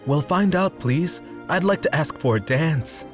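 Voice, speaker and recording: Lively, earnest young prince. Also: male voice, a little high, measured pace, over music. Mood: courteous, intrigued. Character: earnest, courteous, lovestruck young fairy-tale prince. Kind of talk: children's animated story acting.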